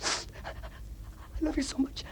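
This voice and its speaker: An actor playing a young coal miner, intense and stammering, moving from defiant shouts to broken whispers. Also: male voice, medium pitch, animated, moderate pace, noisy background, natural voice. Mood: distraught. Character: desperate young male coal miner, emotional. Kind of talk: film drama acting.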